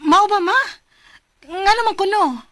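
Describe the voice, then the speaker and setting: An actress playing an earnest, plaintive young Filipina overseas worker in a radio drama. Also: female voice, very high-pitched, a little slow, background noise. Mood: worried. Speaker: radio drama lead and narrator.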